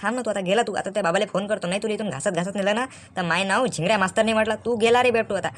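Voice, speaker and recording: A woman's voice: mid-range voice, rapid-fire, close to an everyday voice. A woman voicing a hot-tempered, threatening male tuition teacher in a comic cartoon, with a Vidarbha Marathi accent and scolding, repeated questions. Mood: furious.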